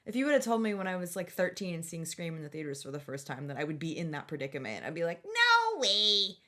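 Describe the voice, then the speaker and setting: A woman's voice: on the low side, big pitch swings, fast talker, bright, forceful tone. A middle-aged woman chatting casually as a podcast co-host. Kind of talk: casual chat.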